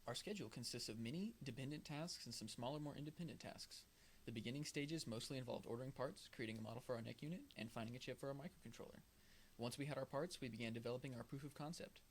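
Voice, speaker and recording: Young male student presenter, brisk scripted technical read, noisy recording. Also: medium pitch. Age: young adult. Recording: clear background noise.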